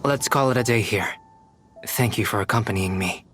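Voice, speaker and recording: An actor playing a calm, erudite young alchemist in a video game, speaking in a measured, formal, precise way. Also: male voice, on the low side, lightly acted. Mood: matter-of-fact. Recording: music behind the voice.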